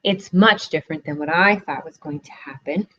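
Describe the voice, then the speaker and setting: A woman's voice: deep voice, normal speed, pushed and bright, lo-fi sound. A young woman student teacher giving a read-aloud lesson for children, asking encouraging questions, recorded on a laptop webcam in a living room.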